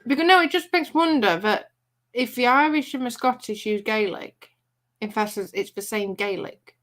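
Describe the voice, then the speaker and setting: A woman's voice: fairly high pitch, fairly bright tone. A woman from Yorkshire with a Yorkshire accent, hosting a live craft stream with playful, curious banter. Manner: playful, curious, quick to retort.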